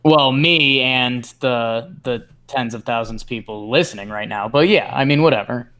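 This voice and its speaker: A man's voice: mid-range voice, moderate pace, a bit bright. A man co-hosting a sports podcast, talking in casual conversation. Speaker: podcast co-host.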